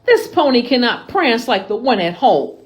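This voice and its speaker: Woman acting a spoiled little princess, fussy, complaining, animated intonation, storybook read-aloud. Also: on the high side, normal speed, voice pushed up. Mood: demanding complaint. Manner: whiny, complaining.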